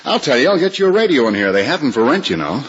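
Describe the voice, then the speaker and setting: An actor playing a kind, easygoing young lawyer and fellow patient with an American accent, casual and reassuring, in 1950s radio drama style. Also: male voice, mid-range voice, sing-song, rapid-fire, husky. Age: young adult. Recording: hissy, muffled, reverberant old radio recording.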